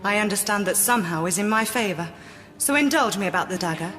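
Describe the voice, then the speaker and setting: A woman's voice: medium pitch, lively intonation, fast, a bit bright, lightly acted. A woman playing a wry, self-assured British adventuress in a video game cutscene, arch and politely sardonic. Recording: long hall reverb, faint music.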